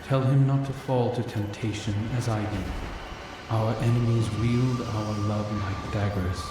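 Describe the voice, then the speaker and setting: A man's voice: on the low side, fairly even intonation, unhurried, natural voice. An actor playing a solemn fallen male warrior in a video game, giving warnings with grave weight. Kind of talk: scripted game dialogue. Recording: cavernous echo, distant, loud background noise.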